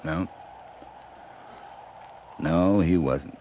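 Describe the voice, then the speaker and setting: An American man acting a grave, steady, understanding cavalry captain in a 1950s radio drama, measured and sparing with words. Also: low voice. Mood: grave, quiet. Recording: muffled noisy 1950s radio recording.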